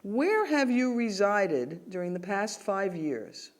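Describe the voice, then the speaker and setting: A woman's voice: mid-range voice, very animated, slow. Woman asking polite formal interview questions. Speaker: mock interviewer. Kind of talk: scripted role-play dialogue.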